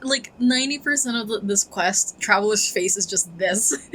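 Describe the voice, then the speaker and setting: A woman's voice: medium pitch, normal speed, fairly bright tone, slightly rough, rough recording. A woman giving casual commentary as she plays through a video game. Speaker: gaming video creator. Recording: game music behind the voice.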